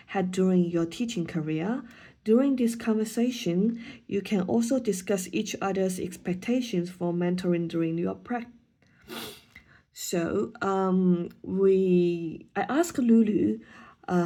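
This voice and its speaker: A woman's voice: medium pitch, dark tone. A woman whose first language is Chinese, a student teacher giving a hesitant class presentation with frequent ums and restarts.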